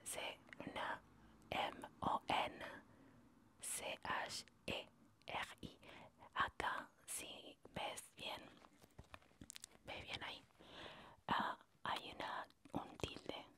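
A woman whispering softly through a lesson, slow and patient, spelling words out letter by letter. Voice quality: soft whispering. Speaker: French teacher. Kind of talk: whispered language lesson, ASMR.

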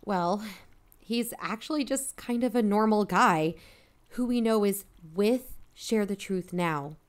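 A woman's voice: mid-range voice, slow, lightly acted. A woman playing an inquisitive, matter-of-fact podcast investigator in a mock-documentary audio drama.

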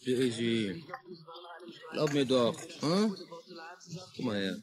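A man's voice: medium pitch, big pitch swings, slow pace, a crowd in the background. An actor in a radio play voicing a pushy, leering man who coaxes in crude, colloquial speech. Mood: coaxing, leering. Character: pushy, leering male flirt in a bar.

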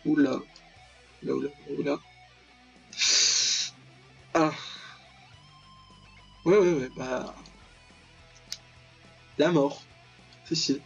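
A man's voice: on the high side, fairly even intonation, very slow, creaky, long pauses, breathy edge, dull audio, faint music behind. A young man giving casual, slangy let's play commentary, with mock-dramatic, exclamatory reactions. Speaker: gaming video host.

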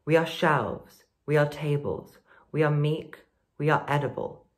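Slow young man reciting poetry. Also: a little high. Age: teens to young adult. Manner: measured, deliberate. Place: plain indoor room.

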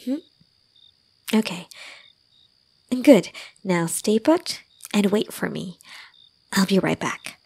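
ASMR roleplay: gentle young girlfriend caretaker, played by a woman. Slow, breathy, soft, soothing, a little teasing. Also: medium pitch, animated, exaggerated voice. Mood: caring, coaxing. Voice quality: soft, breathy, often slipping into whispers. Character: gentle, doting young woman caring for a drunk lover. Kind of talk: ASMR roleplay, intimate one-sided dialogue.

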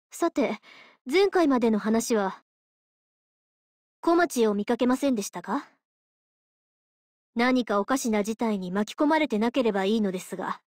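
A stern yet caring lady judge of the afterlife in a game. Her voice is fairly high and she speaks slowly and formally, like someone delivering a lecture. The recording is close and dry. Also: darkish tone, no room sound. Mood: earnest, concerned. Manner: formal, polite, measured, preachy. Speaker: voice actress. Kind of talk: Japanese mobile game character voice lines.

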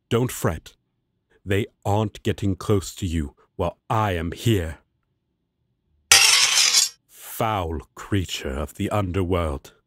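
A man's voice: on the low side, a bit bright, slight background noise. A man playing a righteous, protective angel warrior in a fantasy audio roleplay, speaking in formal, grandiose, archaic phrasing. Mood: stern, righteous. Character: solemn righteous male angel warrior, protective and self-important.

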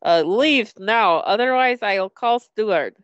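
A woman voicing a gruff, suspicious old Russian man in a video game, curt and dismissive, with a put-on Russian accent. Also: medium pitch, takes it slowly, no echo, dull audio, close to an everyday voice. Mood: angry, ordering. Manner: curt, dismissive, clipped broken English.

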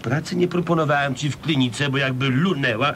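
An actor playing a hapless, wheedling schemer who stammers and repeats himself defensively in a comic radio serial. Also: male voice, mid-range voice, a little fast, a bit bright, a little hiss or hum, natural voice. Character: blustering, wheedling, hapless middle-aged schemer husband.

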